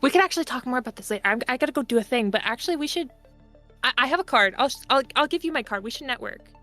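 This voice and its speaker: Female roleplay player, crisp bright tone, chatty, self-interrupting improv, light music behind. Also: high voice, expressive, very fast. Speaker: tabletop game player. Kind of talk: casual improvised in-character chat. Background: background music.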